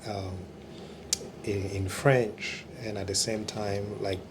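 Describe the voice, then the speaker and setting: A man from the Democratic Republic of Congo, a pastor answering interview questions in a hesitant way with many pauses. His is Kiluba. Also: on the low side, flat delivery, noisy background. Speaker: pastor, church regional staff.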